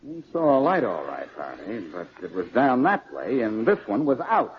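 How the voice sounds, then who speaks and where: An actor playing a quick-witted, breezy amateur detective in a 1940s radio drama. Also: male voice, medium pitch, very animated, natural voice. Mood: matter-of-fact. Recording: muffled, noisy old radio recording.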